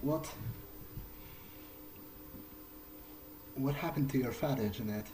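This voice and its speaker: A man voicing an uneasy, apologetic vampire in improvised video game roleplay, halting and stammering as he pleads. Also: medium pitch, background noise, natural voice. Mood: hesitant, shaken. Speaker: player acting in character.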